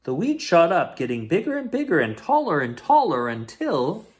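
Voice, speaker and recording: A man reading a children's picture book aloud as a bedtime story host, in an expressive, dramatic way. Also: on the high side, moderate pace.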